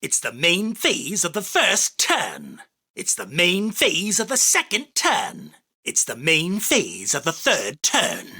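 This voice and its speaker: A man voicing an arrogant, theatrical, self-proclaimed king of card duels in an anime game, with a husky, forceful, declamatory delivery. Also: on the high side, huge pitch swings, slow pace, heavily acted. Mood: announcing. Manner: pompous, boastful, declamatory. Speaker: voice actor. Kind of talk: anime game character battle lines.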